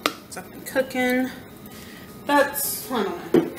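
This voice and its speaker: A chatty woman in her thirties talks through a recipe in a roomy home kitchen, her slightly high voice caught by a camera mic across the room. She jokes at her own slips as she works, and pots and utensils clatter behind her. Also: lively intonation, slow, recorded from a distance, heavy background noise. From American South. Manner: chatty and self-deprecating, talking while working. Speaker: home cooking vlogger. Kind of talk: casual cooking demonstration. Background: clinking pots, pans and utensils.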